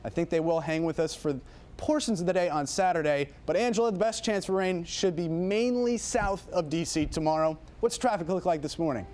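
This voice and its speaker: A man presenting the morning TV weather forecast in a relaxed, chatty way, thinking aloud with casual asides. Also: fairly high pitch, fairly quick. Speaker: TV meteorologist.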